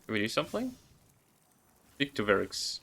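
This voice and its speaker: A man giving casual live game commentary, reacting haltingly as he talks to himself and his viewers. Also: lowish voice, huge pitch swings, creaky, bright, forceful tone, a little hiss or hum. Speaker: game streamer.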